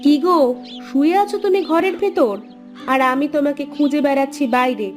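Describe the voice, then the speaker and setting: A woman's voice: high voice, a little fast, soft background music, lightly acted. A woman voicing a practical, encouraging village housewife in a cartoon moral story, with an earnest, coaxing delivery. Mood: reproachful.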